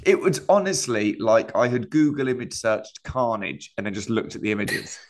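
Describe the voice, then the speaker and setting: A man's voice: mid-range voice, lively intonation, normal speed. A man co-hosting a comedy podcast, telling a rambling travel anecdote in casual chat and laughing often.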